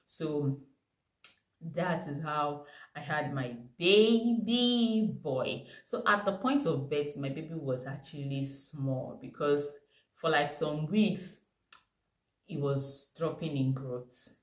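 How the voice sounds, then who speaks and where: A young woman with a Nigerian accent telling a personal story to camera, animated and emphatic. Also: sits low, slow pace. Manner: animated, emphatic retelling with bursts of laughter. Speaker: vlogger. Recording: echoey room.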